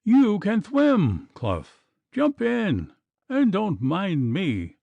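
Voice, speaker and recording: A man voicing a small, panicky young gentleman who lisps and is out of breath. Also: sits high, huge pitch swings, drawn-out words, creaky, dry recording, lo-fi sound, voice pushed up, heavily acted. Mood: solemn. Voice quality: lisping. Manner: frantic, pleading.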